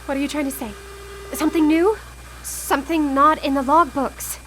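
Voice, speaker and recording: Radio drama role: desperate woman, very high voice, stammered pleas, noisy backdrop. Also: steady tone, moderate pace, fairly bright tone. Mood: anxious pleading. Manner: pleading, stammering repetitions. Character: desperate, pleading woman obsessed with reaching the dead. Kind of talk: live radio drama acting. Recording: clear background noise in live broadcast.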